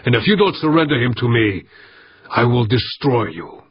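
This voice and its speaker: A man's voice: medium pitch, big pitch swings, normal speed, lo-fi sound, slight background noise, close to an everyday voice. A man voicing a menacing male attack-ship commander in an audiobook, guttural, commanding and threatening. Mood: angry.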